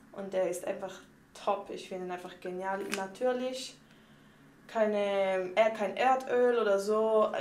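A woman's voice: medium pitch, a little mellow. A young woman with a Swiss German accent talks through her tips in a loose, chatty way, padding her sentences with fillers. She is recorded in a small tiled bathroom that rings with echo, with a faint hiss underneath. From Switzerland. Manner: chatty and unpolished, with many fillers like "und so". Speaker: vlogger. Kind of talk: casual beauty tips vlog. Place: home bathroom, indoors. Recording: echoey bathroom with faint noise.

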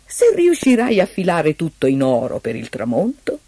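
A woman's voice: low-pitched, wildly swooping pitch, moderate pace, warm tone, husky, dry recording, exaggerated voice. Woman playing an imperious storybook king. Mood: threatening. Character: commanding, greedy adult male king. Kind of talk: fairy-tale storybook character voice.

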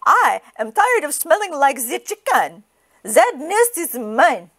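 Woman doing a fussy lady duck, high, swooping, indignant, possessive, storybook. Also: very animated, slow pace, fairly bright tone, full character voice. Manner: exclaiming, indignant. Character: fussy, possessive female duck with French exclamations.

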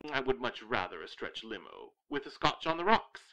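A man's voice: mid-range voice, huge pitch swings, normal speed, pushed and bright, exaggerated voice, poor audio. An actor playing a pompous, florid and dreamy male photographer sidekick in a radio detective drama. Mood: dreamy, indulgent.

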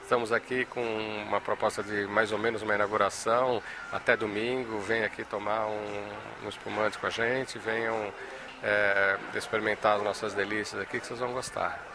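A man's voice: fairly low pitch, little pitch movement, normal speed. A Brazilian man in his fifties giving an informal promotional interview as a restaurant owner. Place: large restaurant room. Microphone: handheld interview microphone. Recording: noisy restaurant ambience.

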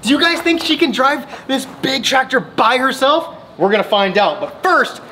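A woman narrating a family vlog from behind the camera on a farm, excitedly cheering her kids on as they play. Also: sits high, normal speed, slight background noise. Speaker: mother and family vlogger. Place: outdoors on a farm, barn and lakeside.

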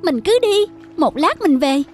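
A little cartoon frog child, with a very high, whiny voice over background music. The youngster sounds boastful and sure of itself, in the style of children's animation dubbing. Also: female voice, very animated, measured pace. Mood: defiant, bored. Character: cocky little frog child who skips school. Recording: music behind the voice.

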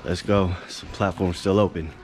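A man casually narrating a vlog as he tours his weightlifting gym, relaxed and chatty. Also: low voice, normal speed. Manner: relaxed, chatty, laid-back. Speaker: vlogger touring his gym. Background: music.

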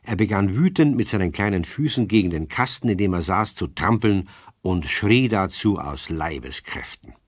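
A man narrating a children's radio play as an expressive storyteller, reading from a script. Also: sits low, moderate pace, husky, no room sound, clean studio sound.

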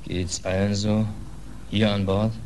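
An actor playing a world-weary, blunt American sailor without a country, in clipped colloquial German radio drama style of the 1940s. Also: male voice, on the low side, level tone, takes it slowly, darkish tone. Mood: terse, curious. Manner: colloquial, clipped working-class speech. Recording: noisy 1940s radio recording.

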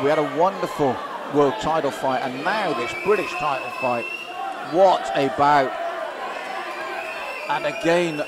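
A male fight commentator delivers live kickboxing play-by-play with excited emphasis and open admiration. His voice sits a little higher than average. It is recorded in a very noisy live venue, with a loud crowd behind him. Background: loud crowd and arena noise.

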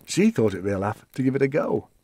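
An actor playing an elderly widower in an audio play, reminiscing wistfully about his wife in a halting, conversational, reflective way. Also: mid-range voice, big pitch swings, mellow. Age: older.

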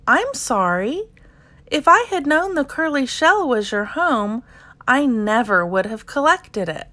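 A woman's voice: sits high, big pitch swings, slow, sharp tone, heavily acted. A woman voicing a curious, cheerful young male platypus in a children's picture book, musing and exclaiming expressively. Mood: gentle, surprised.

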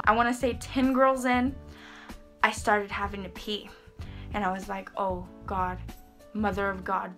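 A young woman rambling in a casual vlog from her bedroom, talking to a camera in front of her and saying "like" a lot. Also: fairly high pitch. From Texas. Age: young adult. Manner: rambling, lots of "like", expressive hand gestures. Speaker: vlogger. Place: small bedroom, indoors. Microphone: camera in front, head and shoulders framing.

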